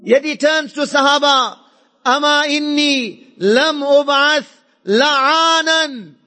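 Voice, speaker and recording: Male preacher, very high bright voice, fervent and emphatic Islamic sermon delivery with insistent repetition, long hall reverb. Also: very fast. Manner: fervent, emphatic, repeats phrases for effect; mixes Arabic and Urdu phrases into English.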